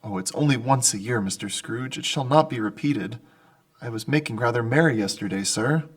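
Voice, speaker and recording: A man's voice: medium pitch, normal speed, close to an everyday voice. A man playing a meek, deferential clerk in an old-time radio drama, speaking earnestly and apologetically. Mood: nervous, apologetic.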